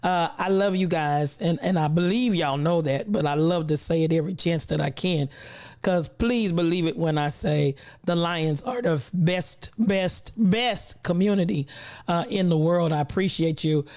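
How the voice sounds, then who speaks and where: A woman's voice: on the low side, normal speed, darkish tone. A woman hosting a casual livestream, greeting viewers in an effusive, affectionate, playful way, with a voice not quite back to full strength after losing it. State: recovering from a lost voice, not full voice. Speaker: livestream host.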